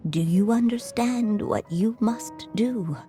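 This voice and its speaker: A woman voicing a gentle, wise mother who is a writer, speaking softly and at times half-whispering. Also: a little high, faint music behind. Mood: tender. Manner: soft, unhurried, often drifting into a whisper. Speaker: storyteller mother. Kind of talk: video game voice acting.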